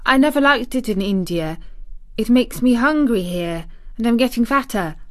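Woman playing an anxious little girl, higher-pitched. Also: expressive, lightly acted. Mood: earnest. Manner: halting, stammering when nervous. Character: anxious, stiff little girl, timid but earnest.

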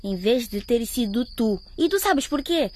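A high-voiced, emotional, defiant teenage girl. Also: expressive, measured pace, a little mellow. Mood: hurt. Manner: emotional, indignant. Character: wounded, defiant teenage schoolgirl standing up for herself. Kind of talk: radio drama acting.

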